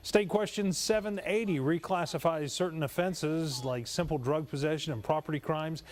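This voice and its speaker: A man's voice: on the high side, normal speed. A man working as a TV news anchor, delivering a live report of election results from a studio.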